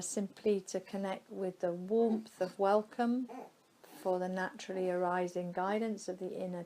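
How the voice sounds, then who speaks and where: A woman guiding a yoga nidra relaxation meditation in a soothing, unhurried manner, leaving long pauses between phrases. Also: mid-range voice, a little mellow, rough recording.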